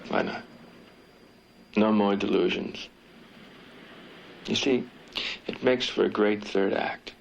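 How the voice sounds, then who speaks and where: An actor playing a nervy, scheming stage-actor lover in a 1970s TV thriller. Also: male voice, fairly low pitch, fairly even intonation, slow pace, vocal fry, long pauses, muffled recording, a little hiss or hum, full character voice. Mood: scheming, insistent. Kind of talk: 1970s TV thriller film acting.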